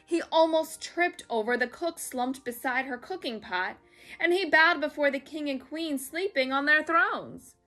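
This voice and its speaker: A woman storyteller reading a children's story aloud in a dramatic, expressive way. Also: high-pitched, measured pace, faint music behind.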